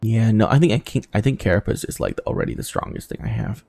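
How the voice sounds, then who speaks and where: A man's voice: fairly low pitch, huge pitch swings, fast, vocal fry, darkish tone. A man giving casual playthrough commentary as a gaming host, thinking aloud and swearing casually as he goes.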